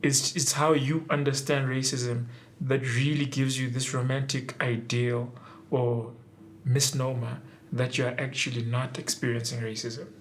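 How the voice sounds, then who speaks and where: A man's voice: medium pitch, flat delivery, slight background noise. Academic man, lecturing tone. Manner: lecturing, with frequent "um" pauses. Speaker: academic and PhD researcher. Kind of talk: documentary interview.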